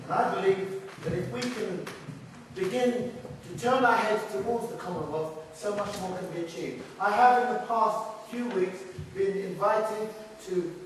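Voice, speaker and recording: A man with a notably high voice gives an animated campaign speech at a public meeting, breaking into laughing asides. He is heard from a distance in a big, echoing hall with a long reverb. There is a faint hiss under the voice. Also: normal speed. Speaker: party leadership candidate. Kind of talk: hustings speech. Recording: echoey hall from far off.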